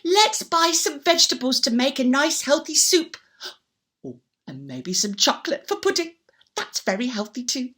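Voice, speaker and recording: High, very animated woman storyteller. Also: normal speed. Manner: theatrical, repeats words playfully, puts questions to the listener. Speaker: children's storyteller. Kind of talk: animated oral storytelling for young children.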